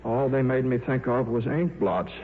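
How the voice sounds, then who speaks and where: An actor playing a shy, absent-minded middle-aged scientist in a 1950s radio drama, with a hesitant, stammering delivery. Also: male voice, mid-range voice, normal speed, lightly acted. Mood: weary, resigned. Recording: muffled, noisy old radio broadcast.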